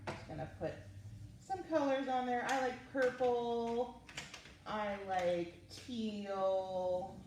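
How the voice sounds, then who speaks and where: A woman's voice: fairly high pitch, takes it slowly, a little mellow. A woman hosting a children's story time and craft session, speaking casually and encouragingly as she talks young children through each step. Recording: roomy, distant mic with faint noise.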